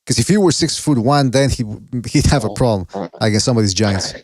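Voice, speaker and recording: A man hosting a boxing podcast, chatting casually and thinking out loud with some swearing. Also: medium pitch, expressive, a little fast. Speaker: podcast host.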